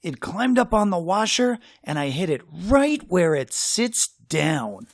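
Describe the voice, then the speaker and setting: A man reading a children's story aloud in an expressive, dramatic way with suspenseful pauses. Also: high voice, no echo, studio quality. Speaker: storybook reader.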